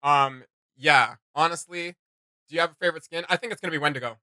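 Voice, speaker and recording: A man giving casual, enthusiastic reaction commentary as a video host, in an excitable, slangy and emphatic way. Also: a little high, fast, dry recording, studio quality.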